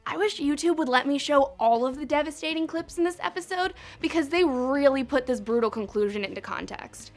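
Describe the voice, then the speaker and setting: A woman's voice: high voice, fairly bright tone. A young woman hosting a countdown video, talking in a sarcastic, profane, chatty way. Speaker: host. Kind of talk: snarky countdown commentary.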